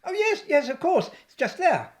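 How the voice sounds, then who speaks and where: Woman acting a high-voiced, doddery old British scientist. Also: sing-song, normal speed, darkish tone. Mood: mildly amused. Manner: hesitant, absent-minded, muddled. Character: doddery, vague, quirky elderly retired AI scientist. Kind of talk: radio play acting.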